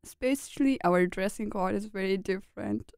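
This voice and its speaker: Young woman from Pakistan, regional Pakistani first language, frequent laughs, short halting phrases, slightly gravelly voice, dry close mic. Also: mid-range voice, a little mellow, no echo. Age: young adult. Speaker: guest, international student. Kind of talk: casual interview chat.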